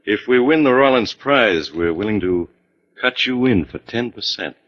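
A man's voice: fairly low pitch, expressive, moderate pace, natural voice. A man acting in 1940s radio style as a glib, dandyish promoter with an ingratiating, scheming manner. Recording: dull vintage 1940s radio recording.

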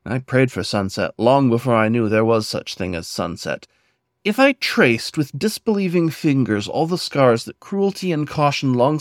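Guy reading poetry out loud, earnest and deliberate, mid pitched voice, really dry close recording. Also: dry recording. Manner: earnest, measured, deliberate phrasing with pauses. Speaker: poet and podcast host reading own poem. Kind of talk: dramatic poetry recitation.

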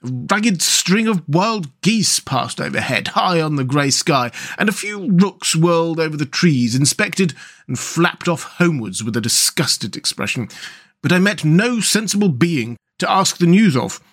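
Man playing a hearty, adventurous otter, fairly high-voiced. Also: expressive, a little slow, a bit bright, heavily acted. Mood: cheerful, excited. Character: hearty, adventurous male otter, cheerful talkative friend.

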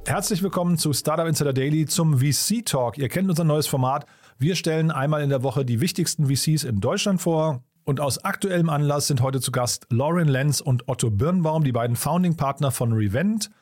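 A man's voice: fairly high pitch, a little fast, a little mellow. A man hosting a podcast, talking in an informal, enthusiastic, conversational way with guests. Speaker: podcast host.

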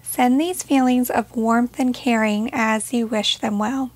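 A woman leading a guided meditation in a gentle, measured way, leaving pauses between short phrases. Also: a little high, fairly even intonation, no room sound.

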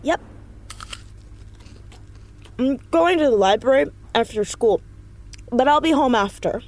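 An actress playing an earnest, stubborn fourteen-year-old girl who is quick to argue, in a naturalistic audio drama. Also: high-pitched, animated, darkish tone. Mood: insistent, breezy.

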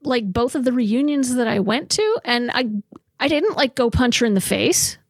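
A woman from Massachusetts with a husky, creaky voice, co-hosting a casual film discussion podcast. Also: fairly high pitch, animated, normal speed, no room sound. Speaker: podcast co-host.

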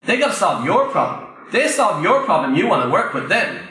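Irish male trainer, high and bright, punchy repeated points to a live crowd, roomy echo. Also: big pitch swings, brisk. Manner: emphatic, repeats key phrases, addresses the crowd directly. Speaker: property investment trainer. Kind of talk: live Q&A coaching talk to an audience. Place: event room before a live audience. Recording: very echoey room.

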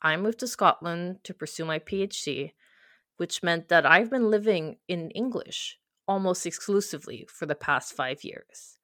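Young woman from Quebec, French first language, reflective scripted narration, lowish voice, dry close sound. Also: lively intonation, a little slow, fairly bright tone, no echo. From Quebec, Canada. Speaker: narrator of a personal audio piece.

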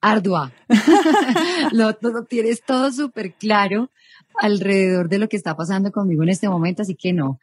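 A woman's voice: mid-range voice, moderate pace, slightly rough, no room sound. Woman, thirties, Colombian Spanish accent, journalist guest, reflective, dry close sound. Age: 30s. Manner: thoughtful, reflective, rephrasing as she goes. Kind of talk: interview conversation.

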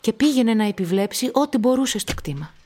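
A woman's voice: on the high side, animated, darkish tone. A woman giving scripted dramatic narration in a radio play.

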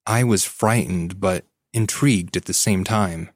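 Brief: deep male narrator, measured scripted reading. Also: no echo, studio quality. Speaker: story narrator. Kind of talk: scripted narration of a listener's story.

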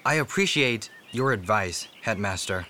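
An actor playing a passionate, deferential seventeen-year-old court painter in a radio drama, speaking earnestly. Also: male voice, fairly low pitch, animated, measured pace, sharp tone, slight background noise. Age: young adult. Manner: earnest, respectful. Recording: music behind the voice.

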